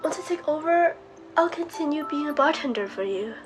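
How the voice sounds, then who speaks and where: A woman voicing a polite, wistful, possessive serpent in a scripted, theatrical monologue, speaking gently and formally. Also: sits high, expressive, fairly quick, over music. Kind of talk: scripted character monologue to the listener.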